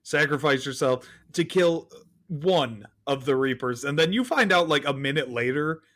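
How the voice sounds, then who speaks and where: A man's voice: fairly high pitch, expressive, normal speed, fairly bright tone. A man chatting casually and giving his opinions as a guest on a film podcast.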